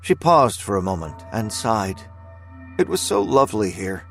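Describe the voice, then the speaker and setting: A man narrating scripted dramatic fiction in a measured storytelling manner. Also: on the low side, big pitch swings, fairly bright tone, soft background music.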